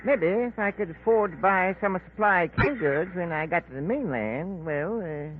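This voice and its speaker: A man's voice: high voice, expressive, normal speed. An actor playing a crafty, grasping old backwoods island man with a folksy, haggling drawl in a 1940s radio drama. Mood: sly haggling. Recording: tinny, noisy 1940s radio recording.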